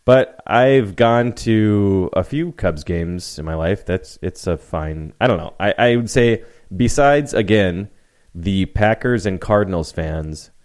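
A man's voice: lowish voice, takes it slowly, a little mellow. A man co-hosting a podcast, chatting casually about sports in a rambling way. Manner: rambling, with restarts. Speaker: podcast co-host.

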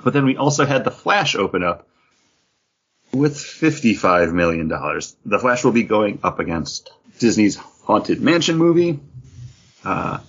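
A man chats casually as a podcast host in a fairly low, animated voice. His tone is a little husky, and the recording is slightly dull and lo-fi. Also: unhurried. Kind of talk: casual panel chat about beer and geek news.

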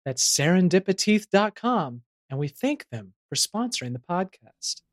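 A man's voice: sits high, sing-song, normal speed. A man hosting a comedy podcast, reading playful scripted narration and ads. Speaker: podcast host.

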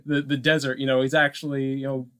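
A man co-hosting a podcast, talking casually about film in a halting way, thinking aloud with frequent asides. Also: mid-range voice, little pitch movement, fairly bright tone, smooth. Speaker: podcast co-host.

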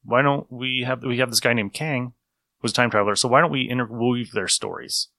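A man co-hosting a casual review podcast, explaining in a geeky, animated way and repeating himself for emphasis. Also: mid-range voice, a little fast, gravelly, no echo, clean studio sound. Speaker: podcast co-host. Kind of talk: casual review chat about old radio episodes.

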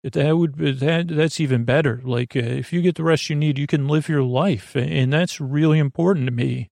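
Male bedtime podcast host: creaky, fry-laden voice, rambling and halting delivery full of digressions, very dry sound. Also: fairly high pitch, darkish tone, no echo. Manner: meandering, hesitant, repetitive, digressive.

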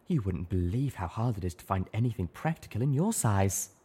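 A man's voice: on the low side, expressive, fairly quick, dark tone, breathy edge. A man voicing a refined, theatrical female unicorn in an audiobook, sing-song and gracious.

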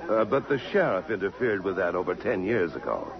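An actor playing an idle, penniless society charmer, easygoing but touchy, in a 1950s radio drama. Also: male voice, low voice, very animated, fairly quick, gravelly, full character voice. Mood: breezy, self-mocking. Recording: muffled old radio, crowd behind.

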